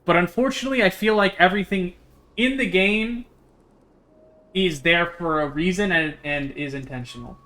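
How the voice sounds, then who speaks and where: A man with a bright, slightly high voice streams a game. He talks casually and slangily, with a jokey streak. His delivery moves between offhand commentary and reading on-screen text aloud. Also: normal speed.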